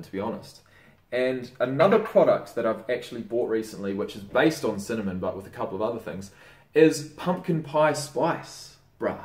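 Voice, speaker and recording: A young Australian man casually giving diet tips in a chatty, swearing, relaxed vlog manner. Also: medium pitch. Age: young adult. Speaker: fitness vlogger. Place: indoor room by a window. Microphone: camera mic on desk. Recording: roomy, mic a bit distant.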